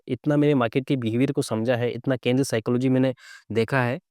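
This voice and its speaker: A man's voice: mid-range voice, warm tone, no echo, clean studio sound. A man with a slightly sore throat hosting a live trading lesson, explaining casually and often checking in with short tag questions. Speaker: trading instructor and live-trade host. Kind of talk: casual live commentary teaching chart reading.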